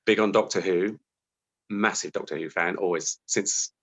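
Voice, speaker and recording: Male interview guest, British, on the lower side in pitch, conversational and modest about himself. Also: fairly even intonation, moderate pace, a bit bright.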